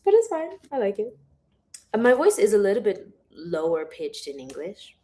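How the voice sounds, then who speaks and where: A young woman chatting casually on a livestream, rambling and giggly, her voice a little hoarse and lower than usual. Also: huge pitch swings, normal speed, warm tone. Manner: chatty, rambling, giggly, uses filler words a lot. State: voice going hoarse. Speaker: author hosting a livestream. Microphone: phone or webcam in a livestream.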